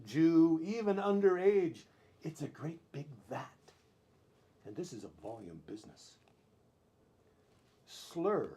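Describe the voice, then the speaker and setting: A man performing spoken-word poetry as a poet, with deliberate, theatrical delivery in short phrases broken by pauses, on a live-stream recording. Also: fairly high pitch, mellow, husky. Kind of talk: dramatic spoken-word poetry reading, with brief chatty asides.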